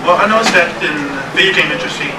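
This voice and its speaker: A man's voice: on the high side, fast. A man asking a reporter's question at a press conference, phrasing it carefully and a little awkwardly. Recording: echoey room, distant mic, loud noise.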